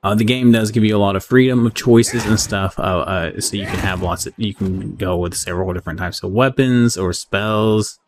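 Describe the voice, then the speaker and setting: A man giving casual, unscripted game review commentary in a halting, conversational way. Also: on the low side. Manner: halting, conversational, often checks in with "right?". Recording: clearly audible background noise.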